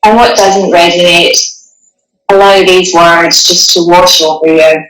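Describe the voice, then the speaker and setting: Slow, gentle woman guiding meditation, echoey. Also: lowish voice, steady tone. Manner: gentle, soothing, unhurried with long pauses. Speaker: meditation guide. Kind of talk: guided meditation, partly reading a passage. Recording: echoey room, distant microphone.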